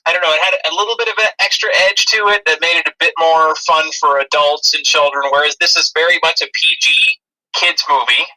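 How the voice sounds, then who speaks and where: A man with a fairly high, extremely bright and sharp voice co-hosts a film podcast. His talk is casual and jokey, and the sound is thin, like a radio or phone. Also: steady tone, fast, poor audio. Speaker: podcast co-host.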